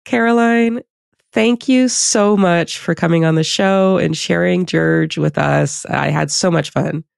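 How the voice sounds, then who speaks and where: A woman's voice: lowish voice, a little slow, gravelly, no echo, clean studio sound. A woman hosting a podcast in a casual, chatty interview style. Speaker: podcast host.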